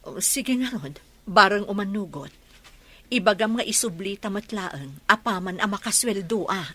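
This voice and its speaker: A woman doing a soft-spoken anxious mother. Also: medium pitch, animated, moderate pace, pitched below the performer's own voice, lightly acted. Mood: worried. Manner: soft, worried.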